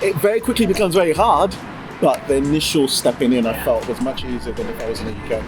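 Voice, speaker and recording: A British man whose first language is Yoruba talks in an easy, reflective way, often dropping soft murmurs of agreement. His voice sits at a middling pitch. Background music plays steadily under the conversation. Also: quick pace. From United Kingdom. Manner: relaxed, reflective, frequent soft "mm" backchannels. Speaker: host. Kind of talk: casual interview chat.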